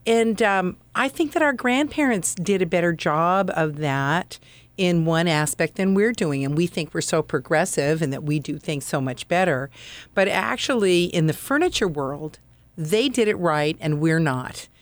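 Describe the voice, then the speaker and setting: A woman's voice: fairly low pitch, animated, measured pace, no room sound. A woman guest on a radio show, explaining furniture advice in an earnest, practical way. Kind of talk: radio interview.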